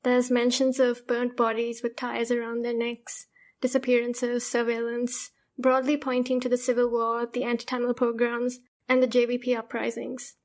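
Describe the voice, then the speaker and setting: A woman host speaks in a fairly high voice that stays level, with little rise or fall. She frames her long questions in a measured, composed way, as if working from notes. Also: monotone. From Sri Lanka. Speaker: podcast host and interviewer. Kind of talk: prepared interview questions.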